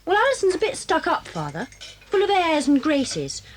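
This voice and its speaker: A woman playing a frank, lively British schoolgirl twin in a children's audio drama. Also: sits high, moderate pace, slight background noise. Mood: disapproving.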